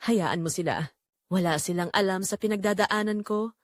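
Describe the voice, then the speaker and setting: Narrator as brazen, defensive Filipina cheating wife. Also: female voice, mid-range voice, normal speed, no echo, clean studio sound, close to an everyday voice. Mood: dismissive. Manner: dismissive, self-justifying. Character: brazen, defensive adulterous overseas-worker wife. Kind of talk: acted dialogue in story narration.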